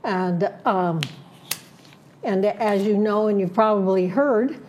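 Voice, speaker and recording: A woman serving as a community board director, laying out her plans in an interview, listing points slowly with many fillers and restarts. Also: mid-range voice, a little mellow, muffled recording, a little hiss or hum. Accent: Southern Californian. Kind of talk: prepared statement in an interview.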